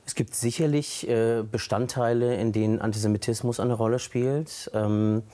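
A man speaking as a guest in a recorded TV interview, thoughtful and hesitant, with frequent fillers and pauses. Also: medium pitch, fairly even intonation, dark tone. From Germany.

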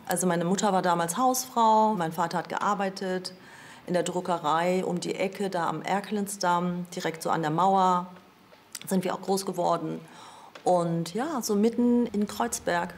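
A woman's voice: on the low side, steady tone, normal speed. A woman from Berlin, a chocolate shop owner, reminiscing in a conversational documentary interview. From Berlin, Germany. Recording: music underneath. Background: music.

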